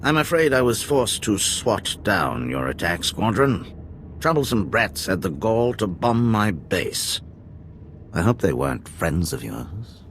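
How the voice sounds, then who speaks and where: A dark lord from a sci-fi video game. He speaks very low and slowly, savoring each grandiose phrase with smug politeness and swooping intonation. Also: big pitch swings, a little hiss or hum. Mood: smug menace. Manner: slow, grandiose, mock-polite. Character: haughty male Sith lord, smug right hand to a usurper. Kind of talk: video game cutscene acting.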